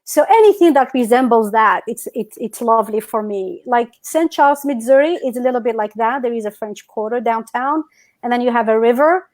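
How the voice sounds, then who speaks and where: A woman's voice: on the high side, animated, brisk, a little mellow. A woman from North Africa whose first language is Berber, chatting as a guest on a video call. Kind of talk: casual interview over a video call.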